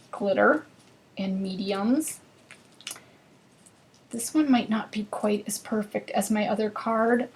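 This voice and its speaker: Woman, northern US accent, bubbly live craft-class host, slightly high voice. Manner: chatty and bubbly, chatting with viewers while working.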